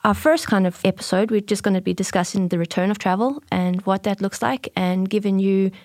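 A woman with a South African accent co-hosts a casual podcast, chatting in a friendly way with frequent "um"s. Her voice is mid-pitched, and the recording is dry and close. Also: steady tone, fairly quick, darkish tone, no room sound. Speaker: podcast co-host, marketing manager.

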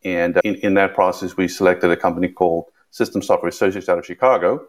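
A middle-aged man with a South African accent, slightly Americanized with a British touch, speaking as a podcast guest in a measured way and often repeating words as he starts a phrase. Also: low voice, fairly even intonation. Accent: South African, somewhat Americanized with a British touch. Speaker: guest, tech executive. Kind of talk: podcast interview.

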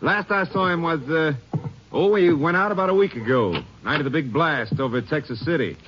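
An actor playing a folksy, stammering rooming-house landlord in a 1950s radio drama. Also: male voice, fairly high pitch, a little fast, lightly acted. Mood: gossipy. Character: rambling small-town male landlord, folksy. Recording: dull old radio recording, faint hiss.

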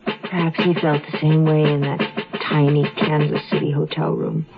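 An actress playing a devoted, world-weary wife on the run, earnest and melancholy, in a 1970s radio drama. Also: female voice, sits low, level tone, moderate pace, creaky, lo-fi sound. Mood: resigned, reflective. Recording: thin-band 1970s radio recording with music.

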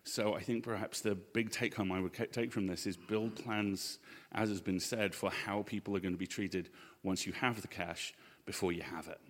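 A man with a fairly low voice speaks on a live panel in a reverberant hall. He is measured and emphatic, building his argument step by step. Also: fairly even intonation. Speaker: panel guest and podcast co-host. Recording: echoey hall with long reverb.

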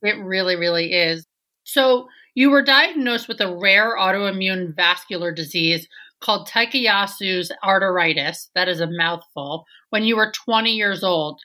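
A woman's voice: mid-range voice, measured pace, sharp tone. A woman from New York hosting a podcast interview, upbeat and enthusiastic. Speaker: podcast host.

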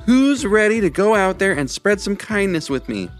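A man's voice: high voice, moderate pace, soft background music, pitched above the performer's own voice. A man voicing an upbeat young male hero in a storybook reading, rallying his friends. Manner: rallying, excited.

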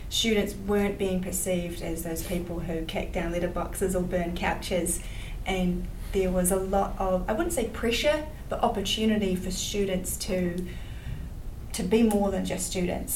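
A woman's voice: on the low side, steady tone, a little slow, darkish tone. A young woman from New Zealand answering panel questions earnestly, with fillers and restarts. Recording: roomy hall, mic at a distance, faint noise.

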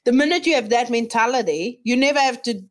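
A woman's voice: fairly high pitch, quick pace. A woman hosting a casual chat, talking bluntly and joking crudely.

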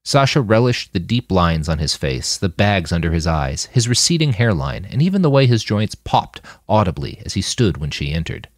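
A man's voice: sits low, sing-song, moderate pace, hardly any pauses, no echo, clean studio sound. A man reading scripted fiction as an audiobook narrator.

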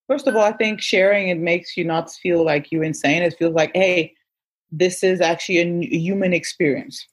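A woman's voice: lowish voice, fairly even intonation, moderate pace, husky. A woman and native Portuguese speaker, a guest coach chatting casually and animatedly with some self-deprecating humour. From Angola. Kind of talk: casual conversation.

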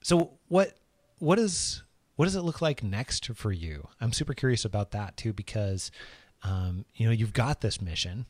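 A man hosts an interview in a fairly low voice, thanking and encouraging his guest. He speaks haltingly, with plenty of fillers and restarts, and the recording is dry and close. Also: lively intonation, darkish tone, no echo. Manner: supportive, halting, many fillers and repeats. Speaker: podcast host. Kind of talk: interview conversation.

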